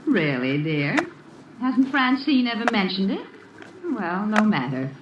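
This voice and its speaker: Catty, condescending young woman for vintage radio drama; animated delivery, noisy distant recording. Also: medium pitch. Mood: mocking. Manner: mocking, sugary condescension with drawn-out endearments. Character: catty, smug young woman taunting her former friend. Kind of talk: old-time radio drama acting. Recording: muffled, noisy, roomy old radio recording.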